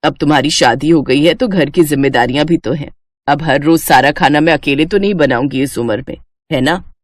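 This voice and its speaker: A woman's voice: deep voice, a little fast, no echo, pitched below the performer's own voice. A woman voicing a proud older Indian mother-in-law, boastful and probing, in a moral story. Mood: pointed, grudging. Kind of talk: animated Hindi moral story dialogue.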